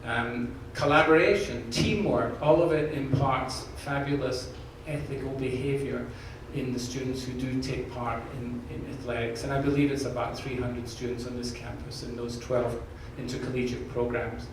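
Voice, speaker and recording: A man's voice: mid-range voice, fairly even intonation, moderate pace, hardly any pauses. A man with a slight Scottish accent answering questions at an open forum, earnest and jokey, often repeating words as he restarts. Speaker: university president candidate. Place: large echoey room from far off. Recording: echoey room, distant mic, background noise.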